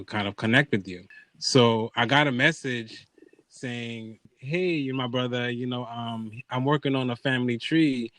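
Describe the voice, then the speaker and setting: A man's voice: mid-range voice, fairly bright tone, no echo. A man hosting a podcast, telling a personal story in casual conversation, acting out quoted dialogue and checking in with his listeners.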